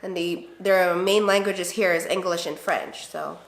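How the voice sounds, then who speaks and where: A woman's voice: fairly low pitch, fairly even intonation, a little slow, vocal fry. A woman whose first language is Tagalog, chatting casually as a mother co-hosting a family vlog. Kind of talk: casual couple chat. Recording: roomy echo, faint background noise.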